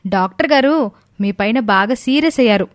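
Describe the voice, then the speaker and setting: A woman's voice: on the high side, animated, fairly quick, no room sound, studio quality, lightly acted. Woman acting a sweet, coy young wife. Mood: coy, happy. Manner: polite, playfully coy. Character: sweet, coy young pregnant wife, respectful daughter-in-law.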